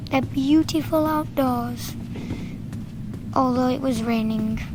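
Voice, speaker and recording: A woman with a croaky voice, narrating her own gameplay in a chatty, rambling way with fillers and restarts. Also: high voice, heavy background noise. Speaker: gaming video narrator. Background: loud game music.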